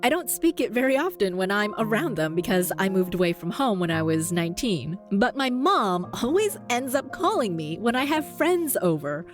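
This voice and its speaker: A woman in her forties, a native Cantonese speaker, hosting a podcast in an upbeat, clear and deliberate scripted monologue. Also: mid-range voice, very animated, music in the background. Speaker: podcast host.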